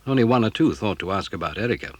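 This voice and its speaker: A man's voice: on the low side, expressive, rapid-fire, husky, dry recording. A man reading a scripted children's story as narrator.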